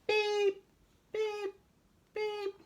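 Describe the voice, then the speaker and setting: A woman's voice: very high-pitched, monotone, very slow, slight background noise, voice pushed up, heavily acted. A woman voicing an exuberant, imaginative little boy who boasts his way through a children's picture book. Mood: excited. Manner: exuberant, boastful, sound-effect loving. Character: boisterous imaginative little boy playing vehicles and animals.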